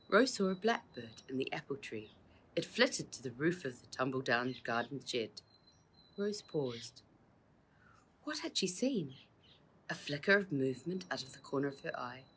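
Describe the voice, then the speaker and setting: Very low-voiced, slightly husky woman reading a story. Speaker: author reading their own book. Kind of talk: children's audiobook narration.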